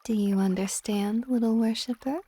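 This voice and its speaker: A woman voicing an ancient, possessive but tender water dragon goddess in a soft, intimate ASMR romance roleplay, often slipping toward a whisper. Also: a little high, steady tone, moderate pace, husky. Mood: possessive, coaxing.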